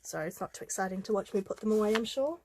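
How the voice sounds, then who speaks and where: A woman's voice: mid-range voice, animated, darkish tone, slight background noise. A woman, a little congested from a head cold, casually showing craft supplies with plenty of ums and uhs. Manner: chatty, unhurried, with frequent ums and uhs. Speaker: craft vlogger. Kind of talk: casual show-and-tell vlog.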